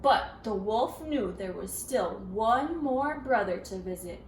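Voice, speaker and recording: A woman reading a storybook aloud to children in an expressive, interactive way, with dramatic gasps and prompting questions. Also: a little high, a little slow. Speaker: children's story reader, teacher. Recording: roomy, distant, faint background noise.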